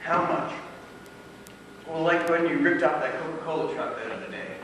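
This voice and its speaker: An actor playing a rambling, halting paranoid drug user in a stage play. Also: male voice, a little high, recorded from a distance, lightly acted. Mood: teasing, curious. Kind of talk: live stage play acting. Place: small theatre stage, recorded from a distance. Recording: echoey, noisy amateur theatre recording from afar.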